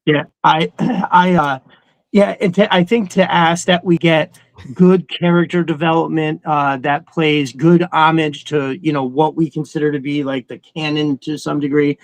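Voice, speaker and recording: A man's voice: on the high side, fairly even intonation, measured pace, no room sound. A man chatting casually and emphatically as a podcast co-host, swearing freely and rambling through his opinions. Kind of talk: casual opinionated fan chat.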